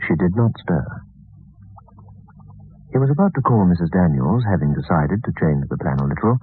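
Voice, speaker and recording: A grave narrator tells a suspense story in an extremely deep voice. It is old radio drama, thin in bandwidth, with music playing underneath. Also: male voice, lively intonation, moderate pace, husky, dry recording, narrow, tinny audio. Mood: grave, suspenseful.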